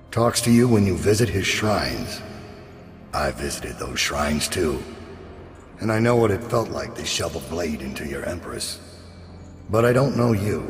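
Very deep, gravelly brooding assassin. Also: male voice, exaggerated voice. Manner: grim, deliberate, measured. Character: brooding, world-weary middle-aged male master assassin, menacing and remorseful. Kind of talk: video game voice acting, monologue and combat lines. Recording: long reverb, music underneath.

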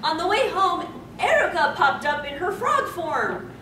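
Young female panel host, very high voice, slow theatrical delivery, giggly asides, recorded far off in an echoey hall. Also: big pitch swings. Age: young adult. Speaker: fan panel host reading fan fiction. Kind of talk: dramatic fan fiction reading with joking asides. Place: convention panel room stage. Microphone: far camera. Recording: distant camera in echoey noisy hall.

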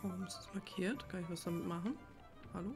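A woman's voice: fairly low pitch, fast. A woman giving casual let's play commentary, rambling and thinking aloud as she plays. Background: faint game music.

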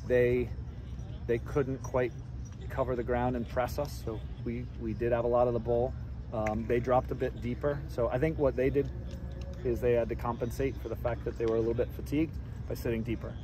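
A middle-aged man, a head soccer coach, answering reporters after a game outdoors at night, measured and repeating words as he thinks. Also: medium pitch, flat delivery, background noise. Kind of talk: postgame press interview. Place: outdoors by a stadium track at night.

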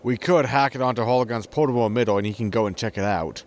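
An actor playing a self-important, easily offended starship captain in a science fiction audio comedy. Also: male voice, medium pitch, quick pace, close to an everyday voice. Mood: wry. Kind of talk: audio sitcom acting.

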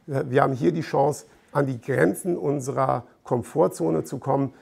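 A middle-aged man explaining fitness equipment to camera in an informal, deliberate way, as an expert presenter. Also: mid-range voice, gravelly, darkish tone, breathy edge. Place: bright gym showroom indoors with large windows.

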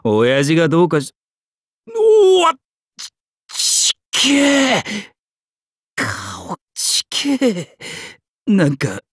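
An actor playing a brash, easily flustered young male warrior in a game, with rough, slangy, blunt speech. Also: fairly high pitch, a bit bright, no echo, clean studio sound, heavily acted. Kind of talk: Japanese game character voice lines.

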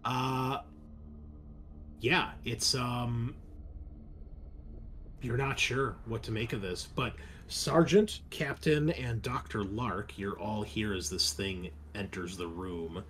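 A man narrating casually as game master, improvising in a halting way. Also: mid-range voice, a bit bright, a little hiss or hum.

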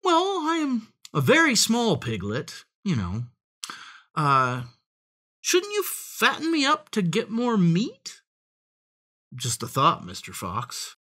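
A woman's voice: medium pitch, huge pitch swings, takes it slowly, pushed and bright, pitched above the performer's own voice, heavily acted. A woman voicing a small, polite young male piglet in a storybook, pleading and hesitant but crafty. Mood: meekly persuasive.

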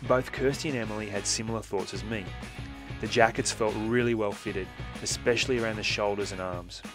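A man narrating a motorcycle gear factory tour in a steady, informative scripted voice-over. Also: fairly low pitch, a little fast. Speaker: motorcycle magazine presenter. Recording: voice-over with music behind.

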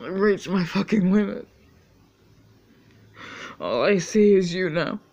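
A man's voice: high-pitched, expressive, slow pace, a little mellow, highs cut off, heavily acted. An actor playing a tormented man who hears his mother's voice, cursing and talking to her as if she were in the room. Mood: bitter. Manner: anguished, cursing, talking to someone absent. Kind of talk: dramatic monologue.

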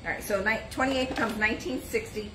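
A woman's voice: a little high, quick pace. A middle-aged woman hosting a live antiques sale from a shop booth, chatting casually as she reads out items, sizes and prices. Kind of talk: live sales stream, casual item pitching. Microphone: phone or camera on a stand, moving around in frame. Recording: roomy shop sound with background noise.

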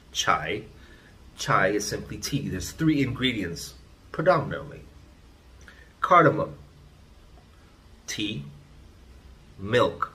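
A man's voice: fairly low pitch, pushed and bright. A man, hosting his own vlog and giving an emphatic, lecturing rant to a phone camera in a small room indoors. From Trinidad and Tobago. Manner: emphatic, lecturing, repeats points. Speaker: vlogger, channel host. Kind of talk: ranting vlog monologue to camera. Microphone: phone camera at arm's length.

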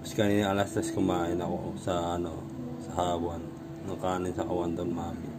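A man's voice: deep voice, completely flat delivery, moderate pace. A man vlogging casually at home about his workout gear, rambling and repeating himself as he talks into a phone camera. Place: home room with gym gear.

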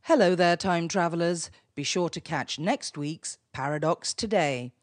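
A woman announcer reading show promos in a lively, upbeat way. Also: fairly low pitch, unhurried, no echo, studio quality, faint music behind.

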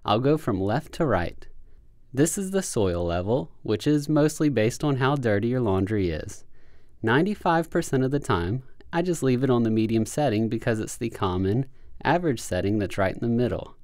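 A man calmly narrating a scripted how-to tutorial as a voice-over, giving plain step-by-step instructions. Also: medium pitch, normal speed, dry recording, clean studio sound. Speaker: how-to video host.

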